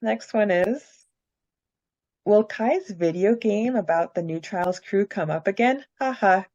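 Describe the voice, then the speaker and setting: A woman's voice: mid-range voice, a little slow, a little mellow, dry recording, lo-fi sound. A woman co-hosting a podcast, chatting casually and reading out listener questions and answers in an upbeat way. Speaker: podcast co-host.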